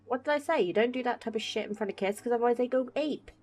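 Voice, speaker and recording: A woman's voice: on the high side, fast talker, darkish tone, husky, faint music behind. A young woman doing casual gameplay commentary, wry, slangy and self-deprecating. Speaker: gaming streamer.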